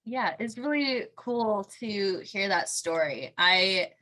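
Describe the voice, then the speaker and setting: Bright-voiced woman podcast host. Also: medium pitch, lively intonation, slow, low-quality recording. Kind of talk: podcast interview.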